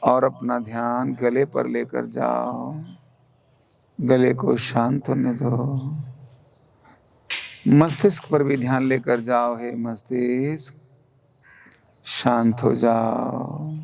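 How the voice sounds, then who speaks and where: A man leading a guided relaxation meditation in a calm, soothing, instructional manner. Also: medium pitch, extremely slow, vocal fry, pauses often. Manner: calm, soothing, instructional, with gentle repeated commands.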